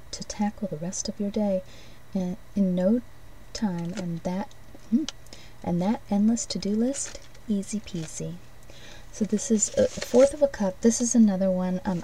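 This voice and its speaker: A woman doing an ASMR role play as a shopkeeper, speaking softly and gently, often breathy and near a whisper, in unhurried short phrases. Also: medium pitch, distant mic, a little hiss or hum, rough recording. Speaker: ASMR host playing a shopkeeper giving card readings.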